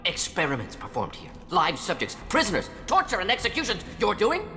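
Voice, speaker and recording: A man voicing a brisk, analytical alien professor in a video game, speaking in clipped, telegraphic phrases. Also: high-pitched, wildly swooping pitch, sharp tone, heavily acted. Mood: shocked, indignant. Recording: reverberant hall, faint music behind. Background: faint music.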